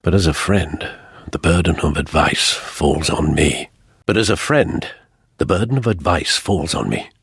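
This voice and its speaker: An actor playing an older, worried and devoted butler in a video game, with a British accent, formal and solemn. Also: male voice, very deep voice, expressive, unhurried, creaky, fairly bright tone, slightly rough, dry recording, clean studio sound, full character voice. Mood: concerned, solemn.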